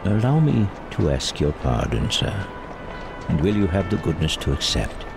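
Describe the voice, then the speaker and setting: An actor playing an elderly reformed miser, giddy, generous and exuberant, in a theatrical audio drama. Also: male voice, low voice, big pitch swings, normal speed, vocal fry, over music, full character voice. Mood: effusive, warm. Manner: exuberant, chuckling, mock-stern at times.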